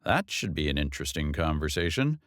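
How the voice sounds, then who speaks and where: A man reading a novel as an audiobook narrator, in a measured, expressive delivery with drawn-out phrases. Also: very deep voice, dry recording, studio quality.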